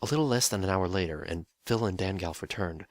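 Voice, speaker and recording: A man narrating a dramatic reading of a story in a steady, wry storytelling manner. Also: deep voice, quick pace, no echo, clean studio sound.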